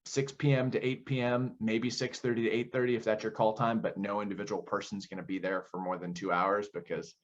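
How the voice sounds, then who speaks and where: Male director as interviewee, lowish pitch, conversational explainer who poses his own questions, dull recording. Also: flat delivery, fairly quick, hardly any pauses. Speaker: audio play director being interviewed.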